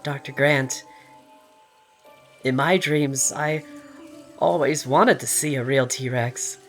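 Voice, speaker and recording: Man acting as a slow, frightened young boy. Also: mid-range voice, fairly even intonation, faint music behind. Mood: wistful, scared. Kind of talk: audio drama acting.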